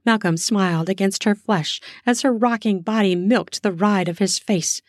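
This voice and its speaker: A woman narrating an erotic story in an unhurried, intimate reading. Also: medium pitch, no room sound, clean studio sound.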